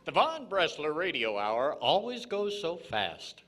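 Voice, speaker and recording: A man's voice: on the high side, very animated, measured pace, fairly bright tone. A man announcing as host of a 1940s-style radio hour.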